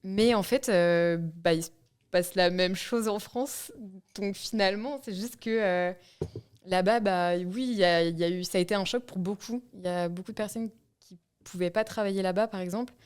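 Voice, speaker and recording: A woman's voice: mid-range voice, moderate pace, darkish tone, clean tone. A young woman telling a story as a podcast guest, hesitant with frequent fillers. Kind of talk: casual storytelling.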